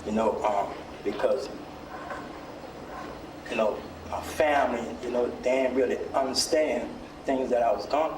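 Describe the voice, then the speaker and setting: A man's voice: medium pitch, a little slow, long pauses. Man in an echoey, noisy room. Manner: colloquial, repeats "you know". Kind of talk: personal testimony. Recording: echoey room, distant mic, clear noise.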